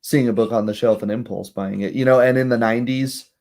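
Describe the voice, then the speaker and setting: A young man hosting a livestream with casual commentary, speaking in a rambling, halting way and often checking in with tag questions. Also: medium pitch, steady tone, a little mellow. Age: young adult. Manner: rambling, halting asides, frequent "right?" tags. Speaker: livestream host.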